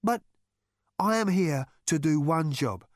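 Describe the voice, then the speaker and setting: Male reader as ominous space conspirator in sci-fi audiobook, emphatic delivery, lifted pitch, lively swings. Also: slow, no room sound, studio quality. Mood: grim, emphatic. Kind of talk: audiobook character voice.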